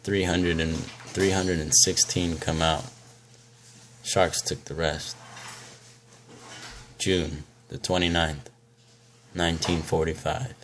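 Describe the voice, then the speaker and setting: A man performing a film monologue as a grim, weathered old sailor, telling his story deliberately with pauses. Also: sits low, level tone, a bit bright, slight background noise. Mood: grim, haunted. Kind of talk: dramatic film monologue recitation.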